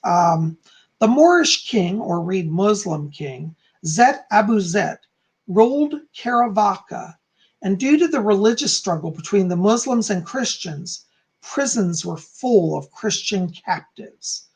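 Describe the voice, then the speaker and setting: A calm, soft-spoken man who is hoarse and losing his voice, teaching on a livestream and reading aloud from a book. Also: high voice, slow pace. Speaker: psychic teaching about folk magic.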